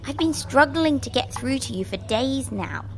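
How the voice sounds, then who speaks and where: A woman's voice: sits high, animated, moderate pace, a little hiss or hum, rough recording. A woman playing a chatty, reassuring otherworldly spirit with a flippant, wry way of talking in an audio drama. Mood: wry, chiding. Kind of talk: acted audio drama dialogue.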